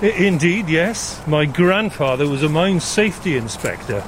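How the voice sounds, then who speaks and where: An older man from South Wales talks as an interview guest. His voice is on the high side for a man, and he explains with measured care. Clear outdoor traffic noise runs behind him. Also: lively intonation. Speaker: interview guest, retired nuclear engineer. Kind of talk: explanatory conversation. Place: outdoors walking in a gorge. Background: road traffic and outdoor ambience.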